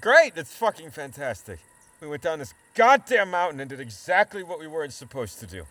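Audio drama: exasperated, anxious male hiker, sarcastic and sweary, forceful, very expressive delivery, faint ambience. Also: fairly high pitch, fairly quick, no echo. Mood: pained, panicked. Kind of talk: full-cast audio drama acting.

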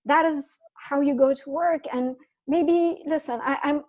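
Guest, woman from Syria, high voice, animated storyteller. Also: measured pace. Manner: animated storyteller, many restarts. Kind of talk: casual interview chat.

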